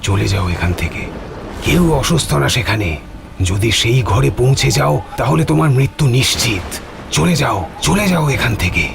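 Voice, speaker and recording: A man voicing a stern, angry old man in an animated horror story, warning a traveller in a commanding, foreboding way. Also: medium pitch, moderate pace, creaky. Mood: menacing. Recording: music behind the voice.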